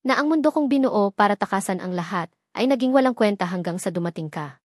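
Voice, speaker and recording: A woman's voice: medium pitch, lively intonation, fast talker, no echo, lightly acted. Devoted young millionaire lover in an audio romance, read by a woman, earnest, romantic, dry close sound. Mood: emotional, confessing. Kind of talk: audio romance story dialogue.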